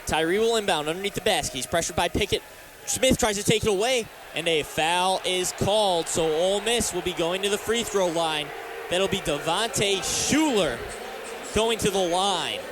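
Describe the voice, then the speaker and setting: A man's voice: high voice, normal speed. An American man giving live basketball play-by-play commentary as a radio announcer from courtside. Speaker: radio play-by-play announcer and sports talk host. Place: courtside in a sports arena. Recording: live arena broadcast with faint background noise.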